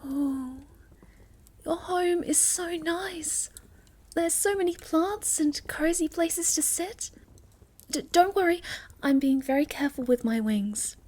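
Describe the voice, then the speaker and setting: A woman voicing a shy, sweet, curious young moth girl in an ASMR roleplay, speaking softly and politely with a hesitant stammer. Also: high voice.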